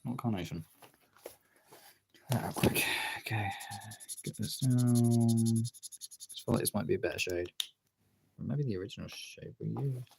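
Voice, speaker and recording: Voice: medium pitch, a little slow, creaky, long pauses, noisy background. A streamer chatting casually while drawing, absent-mindedly thinking aloud in half-muttered remarks.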